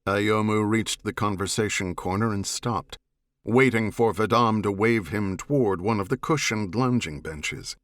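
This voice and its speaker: A male storyteller reads science fiction prose with serious, dramatic weight. His voice sits fairly low and his phrasing is measured, captured in a very dry studio sound. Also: fairly bright tone, dry recording. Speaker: audiobook narrator. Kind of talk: scripted narration of science fiction novel.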